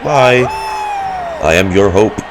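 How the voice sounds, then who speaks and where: Very low-voiced woman reacting casually. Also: expressive, slow, raised voice, over music, a crowd in the background. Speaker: streamer reacting to a game. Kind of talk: casual reaction commentary with slang and swearing.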